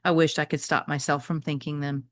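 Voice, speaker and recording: A woman voicing a wry, wistful three-legged shelter dog who loves food, telling a children's story in an expressive, conversational way. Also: deep voice, a little fast, dry recording, studio quality, voice pushed down, lightly acted. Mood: wistful, hurt. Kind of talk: first-person children's novel read aloud.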